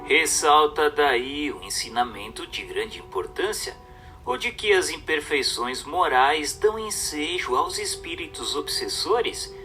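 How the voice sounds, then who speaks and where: Male narrator, Brazilian accent, lively intonation, declamatory scripted reading, slightly distant mic, music underneath. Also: on the high side, measured pace. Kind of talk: scripted narration of a religious book.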